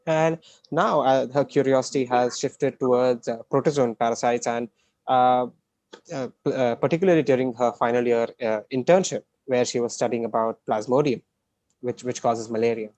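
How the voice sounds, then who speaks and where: Man, mid-range voice, online host, informal introduction, hesitant and halting, very dry recording. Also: medium pitch, steady tone, darkish tone, no room sound. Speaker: conference host introducing a speaker. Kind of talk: informal introduction on a live stream. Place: online video call.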